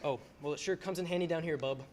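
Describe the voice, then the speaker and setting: A wry, troubled family man, played by a young actor in a radio play. The voice is mid-pitched, halting and incredulous, with faint noise behind it. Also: darkish tone, natural voice. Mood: skeptical. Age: teens to young adult. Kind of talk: stage radio play acting.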